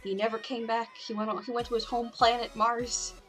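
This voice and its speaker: A woman's voice: fairly high pitch, little pitch movement, a little fast, a bit bright. A woman hosting a casual livestream, talking in a playful, rambling and crude way. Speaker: livestream host. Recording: music playing behind the voice. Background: music.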